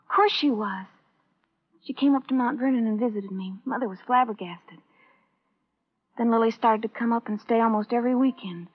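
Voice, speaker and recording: Eager, high-voiced American schoolgirl. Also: moderate pace, slightly rough. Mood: proud, wistful. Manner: eager, naive, confiding. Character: earnest, starry-eyed high school girl from the suburbs. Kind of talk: 1950s radio drama acting. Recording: dull old radio recording.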